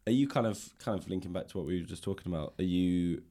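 Low-voiced man hosting a casual interview. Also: fast, mellow, a little hiss or hum. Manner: thoughtful, hedging, roundabout. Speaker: interviewer, podcast host. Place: library room.

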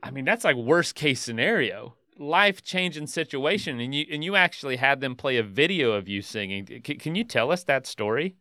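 A man's voice: mid-range voice, expressive, normal speed, hardly any pauses, sharp tone, dry recording, clean studio sound. A man hosting an interview, setting up his guest's story with casual questions. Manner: conversational, leads in with questions. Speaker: interview host.

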